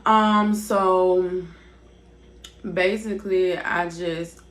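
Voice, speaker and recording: A woman's voice: fairly low pitch, steady tone, extremely slow. A woman of twenty-five talking candidly in a personal vlog, casual and rambling, repeating herself and leaning on fillers, recorded on her device's built-in mic rather than a proper microphone. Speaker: vlogger. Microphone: device's built-in mic, not her usual microphone.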